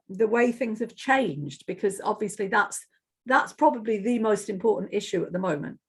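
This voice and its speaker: A woman's voice: mid-range voice, breathy edge. A woman hosting an interview, attentive, asking questions and offering brief affirmations. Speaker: interviewer, host.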